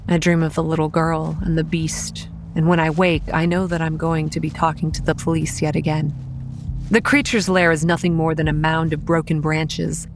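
Low-voiced young horror narrator. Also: female voice, slight background noise. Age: young adult. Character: wry young woman campground manager narrating her horror tale. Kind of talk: scripted first-person horror narration. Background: music.

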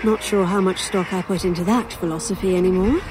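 A woman's voice: lowish voice, fairly even intonation, fairly quick, music in the background, lightly acted. A woman playing a weary, sardonic young writer in an audio drama, with a dry manner. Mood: sardonic, bitter. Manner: dry, sarcastic, hesitant. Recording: faintly noisy outdoor sound bed. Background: crows cawing, outdoor ambience.

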